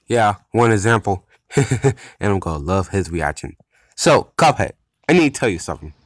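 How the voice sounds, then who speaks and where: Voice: sits low, very animated, moderate pace. An amateur voice actor playing a casual, bossy, wisecracking video-game character in a homemade cartoon. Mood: teasing. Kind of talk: amateur animation voice acting.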